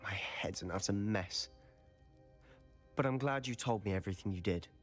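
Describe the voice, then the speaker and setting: A man acts out an earnest teenage wizard from a video game with a British accent. His voice sits fairly low and he talks conversationally, probing as he goes, with soft music underneath. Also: moderate pace, natural voice. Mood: earnest, intrigued. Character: earnest, inquisitive teenage male wizard student. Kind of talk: video game cutscene acting.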